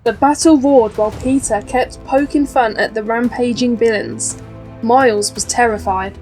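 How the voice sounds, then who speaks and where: Female storybook narrator, higher-pitched voice, scripted read for young children with light music underneath. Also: moderate pace, a little mellow. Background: faint background music.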